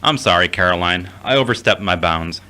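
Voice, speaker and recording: A devout, earnest son played by a man in an audio drama, with a low-pitched voice. He sounds self-doubting and slightly hesitant in his delivery. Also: a little fast, bright, forceful tone. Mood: contrite, defensive.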